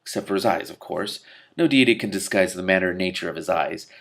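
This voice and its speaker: A man with a fairly low, gravelly voice reads a fantasy novel aloud. His delivery is expressive, and he drops into casual commentary along the way. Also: quick pace. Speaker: reader and commentator.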